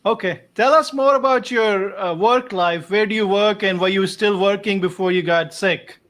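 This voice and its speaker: High-pitched man hosting a casual show. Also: moderate pace, fairly bright tone. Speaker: host, doctor interviewing his patient. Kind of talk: casual online show chat.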